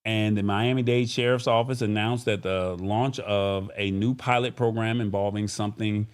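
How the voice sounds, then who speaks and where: A man's voice: fairly low pitch, steady tone, normal speed, smooth. A man hosting a live-streamed talk show in an informal, conversational way, speaking directly to viewers. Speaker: show host.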